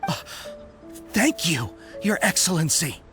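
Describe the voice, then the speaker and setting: A man's voice: fairly high pitch, sing-song, measured pace, music in the background. A man playing a deferential, contrite soldier in a video game, speaking formally and respectfully. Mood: taken aback.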